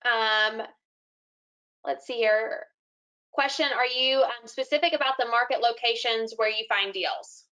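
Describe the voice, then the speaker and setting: A woman's voice: a little high, unhurried, bright, forceful tone, clean tone. A woman co-hosting a casual online meeting, giving updates and passing on questions as moderator.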